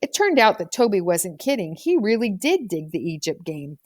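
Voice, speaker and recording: A woman's voice: medium pitch, sing-song, moderate pace, a little mellow. A woman reading a children's novel aloud as scripted audiobook narration. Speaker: audiobook reader.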